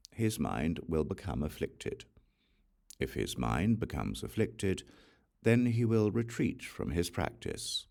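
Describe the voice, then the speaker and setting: Very deep, gravelly male scripture narrator. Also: a little mellow. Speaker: audiobook narrator reading a Buddhist sutra.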